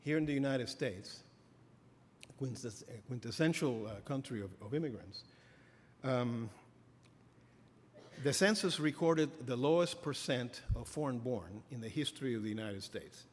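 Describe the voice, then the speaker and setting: A man's voice: mid-range voice, expressive. Middle-aged guy lecturing, lots of uhs and restarts, long echo in the hall, bit of faint room noise. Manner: halting, many fillers and restarts. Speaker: professor giving a keynote address. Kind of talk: academic lecture. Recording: reverberant hall, faint ambience.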